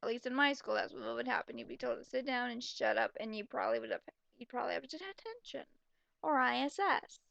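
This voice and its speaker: A young woman with a high-pitched voice and plenty of fry reads a story aloud and picks it apart as she goes. Her manner is sarcastic, blunt and exasperated. The sound is close and dry. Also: fairly quick, fairly bright tone, no echo, lo-fi sound. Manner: exasperated, mocking, blunt asides. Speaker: commentator reading fan fiction aloud. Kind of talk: sarcastic reading with running commentary.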